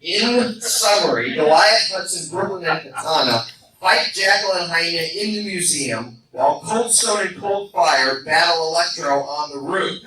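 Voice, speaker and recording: A man's voice: a little high, expressive, a little slow. A man narrating a live radio play, heard on a distant, echoey, noisy fan recording. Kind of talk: scripted narration with comic asides. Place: live convention room, distant microphone.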